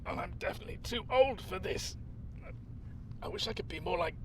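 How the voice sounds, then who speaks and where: A man playing an anxious but determined grandfather on the run in an audio drama, urgent and often dropping into hushed whispers. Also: high-pitched, animated, fairly quick, creaky, slight background noise. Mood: strained, muttering. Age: older.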